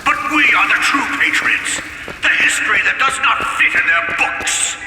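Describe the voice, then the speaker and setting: An actor playing a fanatical, embittered old war veteran who makes grandiose, theatrical speeches in a video game. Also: male voice, high-pitched, animated, moderate pace, vocal fry. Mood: impassioned, defiant. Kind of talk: dramatic video game acting. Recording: distant, heavily echoing hall, music behind.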